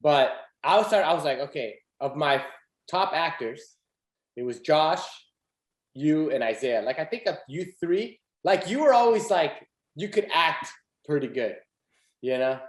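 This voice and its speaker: A young man hosting a casual podcast, talking animatedly with plenty of fillers and quick laughs. His voice sits a little high. The room adds a noticeable echo. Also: lively intonation. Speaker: podcast host. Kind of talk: casual chat. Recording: echoey room.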